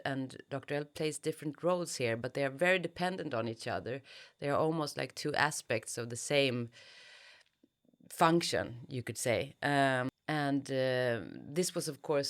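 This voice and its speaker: A woman's voice: very deep voice, clean tone. A woman giving a reflective interview as a novelist, thoughtfully searching for her words. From Sweden.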